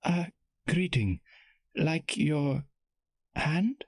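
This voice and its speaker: Guy putting on an exotic-accented princess, slow careful words, voice raised up. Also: fairly high pitch, animated, husky, no room sound, voice pushed up, full character voice. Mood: curious. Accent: exotic foreign lilt. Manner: slow, careful, formal speech. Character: poised young otherworldly princess, woman.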